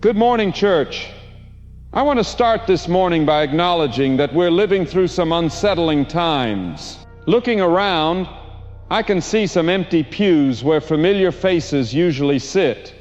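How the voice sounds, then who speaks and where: A man's voice: fairly high pitch, lively intonation, unhurried, darkish tone. A man playing an earnest, reassuring church pastor delivering a sermon. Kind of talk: sermon acting. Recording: reverberant dull church sound, faint music.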